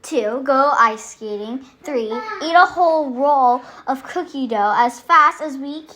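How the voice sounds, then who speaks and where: Storyteller acting out a childlike grown elf man, high pitched, earnest, wide-eyed, storybook character, faint noise. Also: takes it slowly, pushed and bright, heavily acted. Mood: eager listing. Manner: exclaiming, wide-eyed, emphatic. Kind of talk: acted storybook character dialogue.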